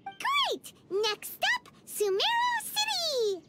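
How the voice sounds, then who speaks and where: A woman's voice: extremely high pitch, huge pitch swings, takes it slowly, noisy background, exaggerated voice. A woman voicing a small, cheerful, inquisitive fairy companion in a video game, bubbly and eager. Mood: excited. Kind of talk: video game cutscene voice acting.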